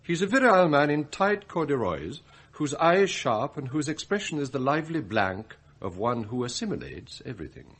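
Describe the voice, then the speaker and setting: An English butler, proud and bitter, in a radio play. His voice is husky and swings very widely in pitch. He speaks in ornate, formal sentences like a passionate idealist with a scheme. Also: big pitch swings, normal speed, fairly bright tone, heavily acted. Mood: stiff, sardonic. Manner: formal, ornate, theatrical phrasing. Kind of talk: radio drama acting, monologue and dialogue.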